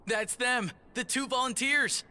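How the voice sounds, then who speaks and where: An actor voicing an earnest, expressive railway worker in a video game. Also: male voice, extremely high pitch, measured pace, slight background noise, full character voice. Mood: grateful, excited.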